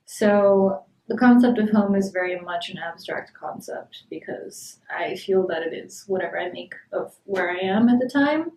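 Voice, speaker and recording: A young woman speaking calmly and articulately in a documentary interview. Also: mid-range voice. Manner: calm, articulate, deliberate. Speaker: international student. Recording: echoey room.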